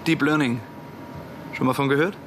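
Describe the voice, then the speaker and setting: A man's voice: mid-range voice, steady tone, moderate pace, background noise, natural voice. An actor playing a self-assured young male programmer in a radio drama, matter-of-fact and dry. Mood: dry, cocky. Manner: matter-of-fact, cocky, explaining. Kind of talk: German radio drama acting.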